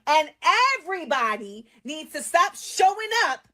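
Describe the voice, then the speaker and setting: High-voiced woman, measured and wry. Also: sing-song, pushed and bright. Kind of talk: reflective video commentary.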